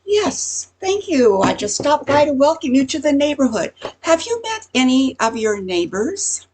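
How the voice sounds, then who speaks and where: An older woman playing a nosy, gossiping neighborhood busybody in an amateur radio comedy, chatty and insinuating with a husky, creaky voice. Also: high voice, very animated, unhurried, a little mellow. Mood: cheery.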